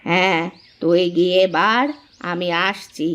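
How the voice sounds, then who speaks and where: A woman's voice: fairly low pitch, a little slow, a bit bright. A woman playing a bossy, biased old mother-in-law in a cartoon, imperious and blunt in manner. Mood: brusque. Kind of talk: cartoon family drama acting.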